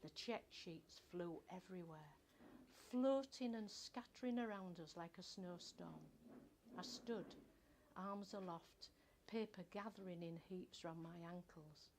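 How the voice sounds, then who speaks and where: An older woman reads a first-person story from a script in a measured, expressive storytelling manner. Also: on the low side, background noise. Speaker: author reading own short story.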